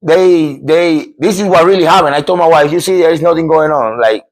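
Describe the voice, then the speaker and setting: A middle-aged man from Cuba tells a scary true story on his podcast, his voice sitting a little high for a man. He talks with emphatic energy, often repeating himself and restarting sentences as he builds his point. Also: a little fast, poor audio. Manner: animated, repetitive, emphatic with restarts. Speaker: podcast host.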